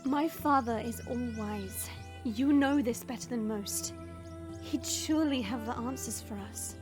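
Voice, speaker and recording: A woman's voice: fairly high pitch, a little slow, over music, close to an everyday voice. A woman playing a proud young dragon princess, formal, commanding and resolute, in a fantasy audio drama.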